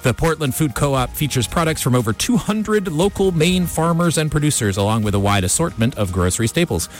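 A man's voice: on the high side, expressive, a little fast. A man hosting a radio show in a casual, rambling, easygoing way. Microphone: studio radio microphone. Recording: music behind the voice. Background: music.

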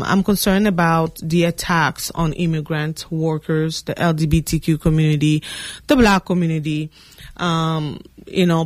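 A woman from the Democratic Republic of Congo whose first language is French, answering radio interview questions earnestly with many pauses and fillers. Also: low voice, dry recording. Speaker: political candidate guest. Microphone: studio mic.

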